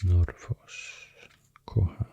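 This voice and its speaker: A man with a Swedish accent speaking in a soft, breathy near-whisper, rambling in an unhurried, hesitant ASMR explanation. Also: highs cut off. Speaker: ASMR creator. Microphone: close-up ASMR microphone.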